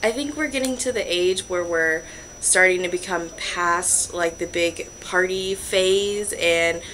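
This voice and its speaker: A woman's voice: on the low side, measured pace, noisy background. A woman in her early twenties, a student chatting casually in an interview. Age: early 20s.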